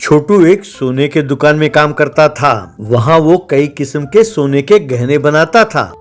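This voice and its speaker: A man's voice: on the high side, lively intonation, measured pace, faint music behind. A man narrating a scripted moral story as its storyteller.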